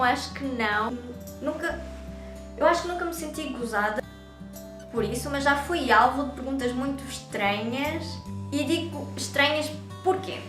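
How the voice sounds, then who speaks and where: A chatty young woman with a European Portuguese accent speaks casually to her camera in a high voice. She is recorded in an echoey room, with music running clearly underneath. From Brazil. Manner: chatty and talkative. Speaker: YouTube vlogger.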